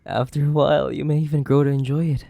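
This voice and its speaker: A man playing a sadistic, possessive, centuries-old vampire in a horror roleplay, theatrical and menacing, with a creaky voice that lapses into breathy whispers. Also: a little high, flat delivery, quick pace, warm tone, no room sound. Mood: gloating, hungry. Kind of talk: dramatic horror roleplay audio, addressing the listener.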